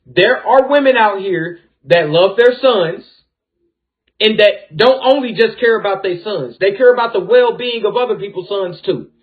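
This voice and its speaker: High-voiced man, tinny, encouraging. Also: moderate pace. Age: 30s. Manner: encouraging, affirming. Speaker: host. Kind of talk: supportive casual chat. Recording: tinny phone-like audio with faint music.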